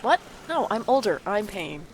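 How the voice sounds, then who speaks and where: Woman playing a dry, anxious young narrator, lower-voiced. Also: sing-song, moderate pace, a little hiss or hum, close to an everyday voice, rough recording. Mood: prickly, teasing. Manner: dry, wry, theatrical sarcasm. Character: anxious, sardonic older sibling, self-deprecating former band kid. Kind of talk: audio drama acting with first-person narration.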